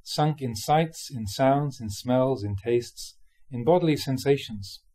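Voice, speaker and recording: A man with a soft-edged, mellow voice and a slightly husky grain reads spiritual teachings aloud. His pitch sits in the middle range. He phrases things in a measured, emphatic way, as a monk giving a talk would. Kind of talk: reading a dharma talk aloud with informal asides.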